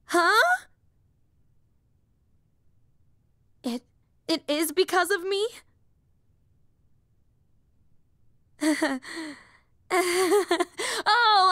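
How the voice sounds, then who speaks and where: A woman's voice: sits high, fairly bright tone, no echo, studio quality. A woman voicing a young, nerdy female hero fan and teacher in an audio roleplay, eager, rambling and easily flustered. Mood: flustered, shy.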